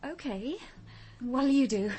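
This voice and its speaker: A woman's voice: sits high, lively intonation, slow pace, a little mellow, soft background music. An actress playing a wide-eyed, anxious young woman in a radio drama. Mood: content.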